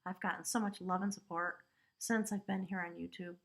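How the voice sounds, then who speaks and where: A woman's voice: fairly low pitch, steady tone, a little fast, vocal fry. Chatty woman giving a tarot reading. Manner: chatty, warm asides with frequent "you know" and "right?". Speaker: tarot reader. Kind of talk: casual spiritual tarot reading.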